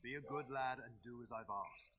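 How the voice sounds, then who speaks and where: An actor playing a middle-aged noble lord in a video game, speaking in a measured, formal, fatherly way. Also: medium pitch, background noise, lightly acted. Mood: firm, fatherly.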